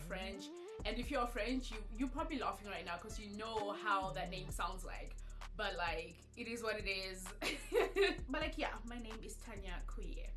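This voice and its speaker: Chatty young woman from Zimbabwe. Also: mid-range voice. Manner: chatty, candid, lots of filler "like". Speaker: vlogger. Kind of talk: casual get-to-know-me Q&A. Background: background music.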